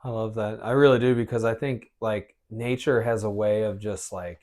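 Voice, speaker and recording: Casting: American man, podcast host, moderately low pitch, informal chat and first-person storytelling. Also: steady tone, moderate pace, a little mellow.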